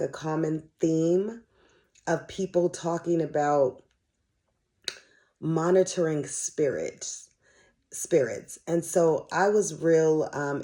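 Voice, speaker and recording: A middle-aged American woman talks casually as the host of her own podcast. Her voice is soft-edged and mellow, with a slight dry rasp from hoarseness. She speaks candidly and conversationally, using everyday slang. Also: sits low. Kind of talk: casual solo podcast chat.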